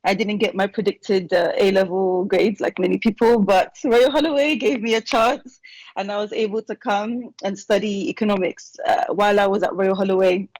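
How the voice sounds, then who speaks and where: A young woman speaks over a remote call, recounting her path to a panel with a chatty, easygoing manner. Her voice sits at an ordinary middle pitch. The sound is dry and close, with no room around it. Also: steady tone, no echo, low-quality recording. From born in Nigeria, raised in England. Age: young adult. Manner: chatty and warm, quick asides with light laughs. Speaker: alumna panelist. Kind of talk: personal story for a panel. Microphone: remote video call.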